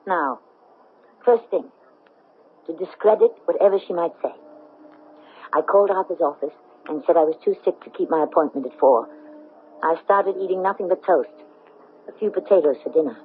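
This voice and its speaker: A woman's voice: sits low, narrow, tinny audio, lightly acted. An actress playing a scheming, calculating woman who narrates in the first person in a 1970s radio drama. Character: scheming, calculating murderess confessing her plot. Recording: thin band-limited 1970s radio broadcast.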